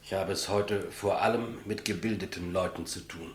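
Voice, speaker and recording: Man playing a low-voiced, polite old executioner. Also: fairly even intonation, moderate pace, a little hiss or hum, lightly acted. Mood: calm, polite. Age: older. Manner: deferential, plain, businesslike. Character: elderly male state executioner, polite, clumsy, matter-of-fact. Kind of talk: 1950s German radio play acting.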